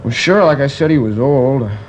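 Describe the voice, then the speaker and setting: Rambling, indignant actor as a store manager. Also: male voice, mid-range voice, lively intonation, a little fast, a little hiss or hum, natural voice. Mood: recounting. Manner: chatty, rambling, indignant with hesitations. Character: talkative, indignant male liquor store manager. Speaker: store manager, robbery victim. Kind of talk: 1950s radio crime drama acting. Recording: dull old radio transcription.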